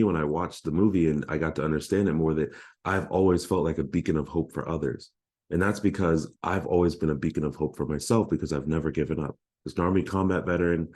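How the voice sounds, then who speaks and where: A man's voice: very deep voice, a little mellow, slightly rough. A man in his thirties, a life coach giving an earnest, heartfelt motivational talk.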